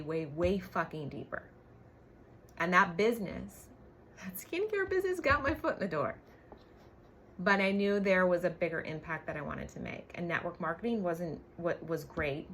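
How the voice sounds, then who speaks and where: A woman in her forties with a fairly low voice speaks candidly and emphatically, as in a personal vlog. She checks in with the listener as she tells her story. Some noise sits behind her. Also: fairly bright tone. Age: 40s. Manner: candid, emphatic, frequent tag questions and swearing. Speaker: business coach.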